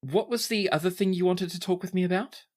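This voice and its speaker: A man's voice: sits high, fast, a little mellow, voice pushed up. A man voicing a shy, eager young female gecko inventor who talks in modest, hesitant bursts. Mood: eager explaining. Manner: hesitant, modest, stammering repeats.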